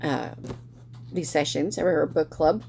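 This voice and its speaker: A middle-aged woman hosts a casual book club chat in a fairly low voice. She rambles a little, restarting sentences and filling pauses with "uh" and "um". A faint hiss of noise sits under the recording. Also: vocal fry, darkish tone. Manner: rambling, many fillers and restarts.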